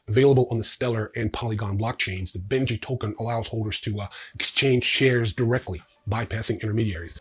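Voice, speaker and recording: A man's voice: on the low side, slightly rough. A man hosting a news show, reading scripted stories with dry, sardonic offhand asides.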